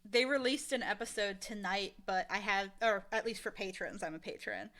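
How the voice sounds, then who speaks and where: A woman with a bright, forceful voice sits at a middle pitch. She talks casually as an interview guest, animated and emphatic as she explains her work. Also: normal speed. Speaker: interview guest, audio drama creator and casting director.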